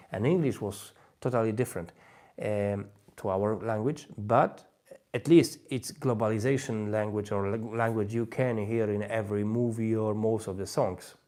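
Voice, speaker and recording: A man from Poland, a native Polish speaker, giving an informal livestream talk with a Polish accent, halting and often rephrasing himself. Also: fairly low pitch, dark tone. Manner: halting, repeats and rephrases phrases. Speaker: host, language learner giving advice.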